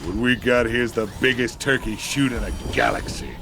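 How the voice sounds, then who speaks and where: An actor playing a cocky, rough outlaw space marine in a video game, with a gravelly Southern US drawl and folksy, wry talk. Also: male voice, medium pitch, steady tone, normal speed, noisy background, close to an everyday voice. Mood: cocky, grumbling. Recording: music behind the voice.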